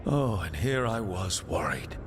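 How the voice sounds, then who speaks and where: An actor playing a wry, determined hero mage in a video game, plain-spoken and dryly sardonic. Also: male voice, low-pitched, sing-song, a little slow, heavily acted. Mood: dry, challenging. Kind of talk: dramatic video game dialogue. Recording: long hall reverb, clear background noise.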